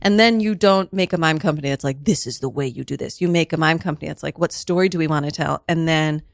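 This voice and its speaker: A woman's voice: deep voice, quick pace, creaky, no room sound, clean studio sound. A woman chatting casually as a guest on a comedy podcast. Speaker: guest mime on an improv show.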